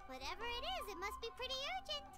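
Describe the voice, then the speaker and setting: A tiny, excitable fairy girl for a video game. The voice is childlike, squeaky and extremely high, with wildly animated, bubbly delivery. Music plays prominently behind it. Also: very fast. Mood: curious. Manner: refers to self in third person, bubbly. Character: tiny excitable girl fairy companion who loves food.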